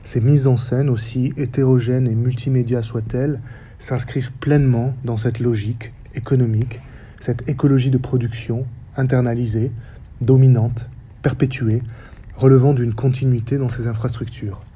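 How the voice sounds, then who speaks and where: A man in his forties from the French Basque Country, with a faint Basque accent, giving a reflective public talk as a stage director in measured, short phrases. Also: medium pitch, steady tone, dark tone, slightly rough. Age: 40s. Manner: measured, list-like delivery with short paused phrases. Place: theatre stage or hall.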